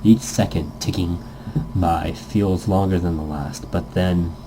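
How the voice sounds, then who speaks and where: Dark, mellow-voiced man narrating a game. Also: sits low, fairly even intonation, slow pace, slight background noise, low-quality recording. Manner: playful, goofy improvised asides. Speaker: let's-play host. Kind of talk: reading a visual novel aloud with casual gaming commentary.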